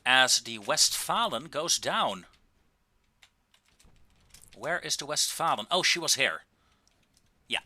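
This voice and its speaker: A man giving casual, wry and understated commentary on a video game, with drawn-out pauses, into a close microphone. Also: medium pitch, expressive, pushed and bright, no room sound.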